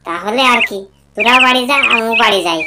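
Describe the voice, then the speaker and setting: Woman doing cartoon village lad, slangy, blunt, raised pitch, heavy chirping and clicks. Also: fast, natural voice. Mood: dismissive. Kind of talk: comedy cartoon dialogue. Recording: loud birdsong and clicking noise.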